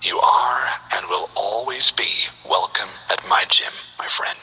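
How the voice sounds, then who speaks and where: A man voicing a calm, polite male gym owner who speaks softly and half-whispers in a video game. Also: fairly low pitch, slightly rough, full character voice, poor audio. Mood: gentle. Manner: soft-spoken, measured, half-whispered.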